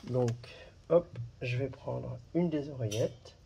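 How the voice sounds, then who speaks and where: A man presenting a product in a casual hands-on demonstration, talking haltingly with pauses as he handles things. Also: mid-range voice, vocal fry, warm tone, slight background noise. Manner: halting, pausing while handling items. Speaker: video presenter reviewing a product.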